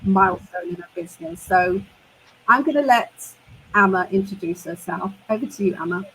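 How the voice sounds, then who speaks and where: A woman's voice: mid-range voice, moderate pace, a bit bright, a little hiss or hum, low-quality recording. A woman in her fifties from the United Kingdom, co-hosting a livestream chat in an upbeat way and leaning on repeated words for emphasis. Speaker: co-host and online business mentor.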